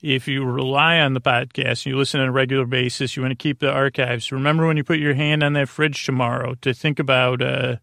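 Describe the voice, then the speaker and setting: A man hosting a sleep podcast, delivering a rambling bedtime monologue in a halting, self-interrupting way that drifts into tangents. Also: mid-range voice, level tone, gravelly, a bit bright, dry recording, clean studio sound. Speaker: podcast host.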